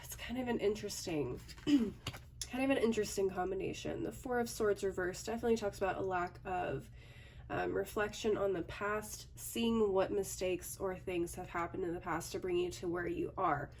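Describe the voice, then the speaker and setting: A woman doing a casual tarot reading, her voice a little raspy from a recent cold, often pausing with "okay" and "um". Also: medium pitch, steady tone, darkish tone, distant mic, slight background noise. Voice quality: slightly raspy. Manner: thinking aloud with frequent "okay" and "um". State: hoarse from a cold. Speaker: tarot reader.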